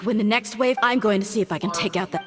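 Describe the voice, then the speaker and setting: A woman's voice: mid-range voice, quick pace, over loud music, natural voice. A woman voicing a tough, commanding mercenary heroine in a video game, firm and confrontational. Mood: resolute. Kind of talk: dramatic video game acting.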